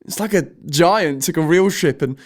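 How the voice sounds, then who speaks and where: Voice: mid-range voice, moderate pace, darkish tone, natural voice. An actor playing a curious fifteen-year-old son in an audio drama, casual and playfully cheeky. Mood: excited, curious.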